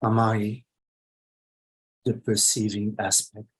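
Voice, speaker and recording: A man leading a guided meditation as a teacher, speaking in short phrases with long pauses between them. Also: lowish voice, little pitch movement, slightly rough.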